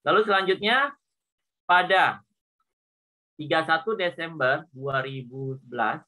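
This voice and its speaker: A man's voice: fairly high pitch, measured pace, bright, forceful tone, low-quality recording. A man with an Indonesian accent lecturing informally as a teacher, explaining worked problems step by step and often repeating points with small confirming tags. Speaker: accounting lecturer.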